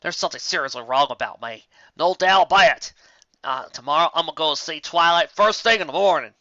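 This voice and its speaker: A man's voice: on the high side, a little fast, sharp tone, dry recording, muffled recording, pitched above the performer's own voice. A man voicing an earnest, troubled female farmer pony, plain-spoken and sincere, in a story reading.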